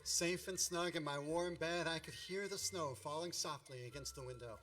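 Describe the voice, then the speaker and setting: A man's voice: on the high side, moderate pace, far from the mic, loud music behind the voice, natural voice. An actor playing a grown man who narrates his boyhood memories in a radio play, wry, nostalgic and grandiloquent.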